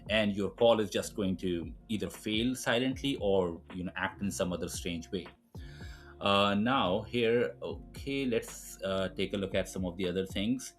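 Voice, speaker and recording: A man with a fairly low voice walks through a technical tutorial. He explains step by step in an informal way, with music behind him. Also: measured pace. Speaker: tutorial presenter. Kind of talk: screen-recorded software tutorial, explanatory.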